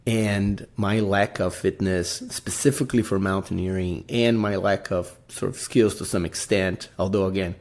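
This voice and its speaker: A man's voice: sits low, steady tone, moderate pace, hardly any pauses, a little mellow. A man from Brazil with a Brazilian accent, hosting a casual solo podcast and rambling, often tagging his sentences with "right?". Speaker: podcast host.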